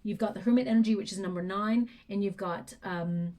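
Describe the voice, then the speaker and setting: A woman giving a tarot reading, talking softly and reflectively as she thinks aloud, her voice going hoarse and throat clearing. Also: medium pitch, fairly quick. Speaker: tarot reader.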